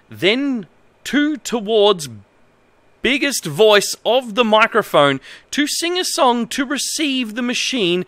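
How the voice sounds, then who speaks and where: An Australian man with a high, forceful voice streams from home. His pitch swings around in an exaggerated read-aloud delivery, broken up by incredulous commentary. The sound is close and dry. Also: no room sound. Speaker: livestreamer. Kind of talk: reading aloud with amused livestream commentary. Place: indoor home streaming setup.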